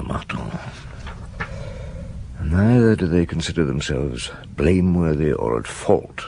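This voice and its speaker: An actor playing a weary, doubting middle-aged chief inspector in a radio drama, probing, hesitant and often muttering to himself. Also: male voice, a bass voice, gravelly, darkish tone, a little hiss or hum, full character voice. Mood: musing, muttering. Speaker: detective and narrator. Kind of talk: radio drama dialogue and narration.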